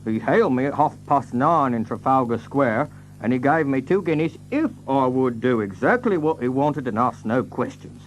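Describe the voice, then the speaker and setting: An actor playing a plain-spoken London cab driver with a working-class accent, hesitant and colloquial, in an audio drama. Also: male voice, mid-range voice, expressive, moderate pace, slight background noise, natural voice, rough recording. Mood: chummy, obliging.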